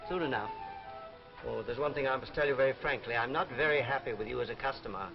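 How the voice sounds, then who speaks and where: An actor playing a calm, mysterious shopkeeper with formal, polite speech in a 1950s drama. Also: male voice, mid-range voice, quick pace, gravelly, lightly acted. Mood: grave, courteous. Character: calm, courteous, mysterious shopkeeper selling what people need. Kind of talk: 1950s television drama acting. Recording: muffled 1950s broadcast, music behind.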